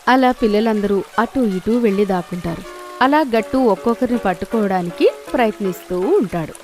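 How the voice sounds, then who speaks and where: A woman's voice: mid-range voice, expressive, fairly quick, a little mellow, soft background music. A woman telling a scripted children's story as its narrator.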